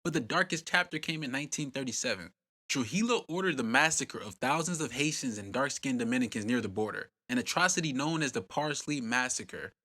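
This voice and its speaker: A man narrates a scripted history documentary with a bright, ringing tone. His delivery is punchy and dramatic, full of rhetorical questions and short emphatic lines. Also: medium pitch, expressive, fairly quick. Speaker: host and narrator.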